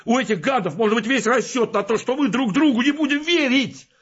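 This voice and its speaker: A blustering, husky, bossy man. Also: very high voice, fairly quick, dull audio. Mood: furious. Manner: forceful, insistent, emphatic. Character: blustering, bossy, hot-tempered male scientist, burly friend. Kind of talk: Russian audio drama acting.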